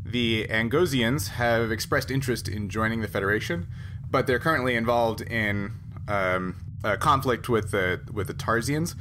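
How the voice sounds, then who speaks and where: A man runs a tabletop game in a conversational, explanatory tone. His delivery halts as he works through the setting, and his mid-range voice sits in clear background noise. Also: mid-range voice, moderate pace. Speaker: game master. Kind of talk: casual tabletop game narration. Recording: clearly noisy group recording.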